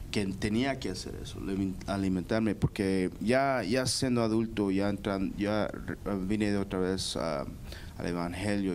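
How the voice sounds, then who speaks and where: Low-voiced Guatemalan man, halting testimony. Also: darkish tone, a little hiss or hum. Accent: Guatemalan Spanish. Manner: hesitant, many restarts and repeated words. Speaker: guest giving testimony.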